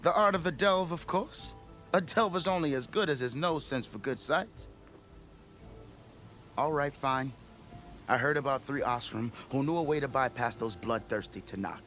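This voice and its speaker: An actor playing a blustering, scheming expedition leader in a video game, with grandiose storytelling. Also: male voice, medium pitch, moderate pace, fairly bright tone, faint music behind, natural voice. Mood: eager, persuasive. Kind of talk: video game cutscene acting.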